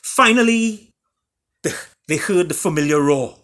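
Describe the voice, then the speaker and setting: A man reading and retelling a fable as its author, with dramatic pauses and emphatic delivery. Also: on the high side, animated, a bit bright. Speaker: author reading his own book.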